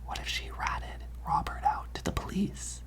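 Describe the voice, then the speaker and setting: An ASMR performer playing a gossipy, dramatic high school student, rambling in a hushed, conspiratorial way. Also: normal speed, lightly acted. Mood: suspicious, hushed. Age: teenage character. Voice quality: breathy whisper with creaky edges. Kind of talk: ASMR roleplay.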